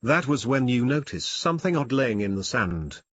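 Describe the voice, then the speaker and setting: Male children's story narrator, medium pitch. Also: sing-song, normal speed, a bit bright, dry recording.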